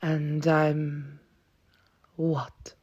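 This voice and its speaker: A woman acting as a stern, ancient vampire warrior aunt in an audio roleplay, with a husky, breathy, creaky voice and grave delivery. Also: low-pitched, monotone, a little mellow, dull audio, heavily acted. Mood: wary, irritated. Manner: grave, slow, dramatic delivery.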